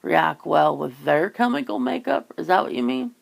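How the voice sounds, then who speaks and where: Lady with a lowish creaky voice, just rambling and musing in a casual chat. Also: animated, moderate pace. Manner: musing, informal, swears casually. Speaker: ASMR channel host chatting with a guest.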